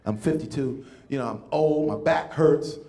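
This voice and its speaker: A man's voice: on the high side, moderate pace, gravelly, echoey room. A man in his fifties performing a stand-up comedy set, telling stories and acting out voices. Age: 50s. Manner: animated storytelling, acting out voices. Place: comedy club stage with live audience.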